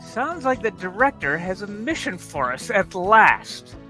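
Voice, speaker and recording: High-voiced, lively man joking casually. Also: measured pace, over music. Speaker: cast member in a table read. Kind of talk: casual chat and amateur script reading.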